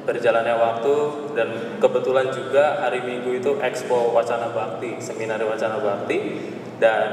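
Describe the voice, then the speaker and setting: A young man, a seminarian, giving a reflective, halting testimony about his calling at Mass. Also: medium pitch, slight background noise. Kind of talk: personal vocation testimony at Mass. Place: large church. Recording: echoey church from far off.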